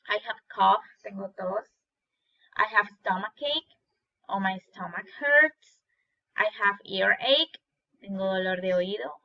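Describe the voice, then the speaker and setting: A woman's voice: medium pitch, gravelly, sharp tone, highs cut off. A woman English teacher with a Spanish accent, patiently explaining a worksheet and translating each phrase into Spanish. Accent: Spanish-speaking. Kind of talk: recorded lesson walking through a worksheet.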